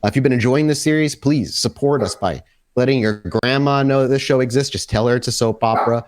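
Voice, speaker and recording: A man's voice: medium pitch, fairly quick. A man speaking as host and game master of a tabletop roleplaying podcast, casually chatting and narrating scenes.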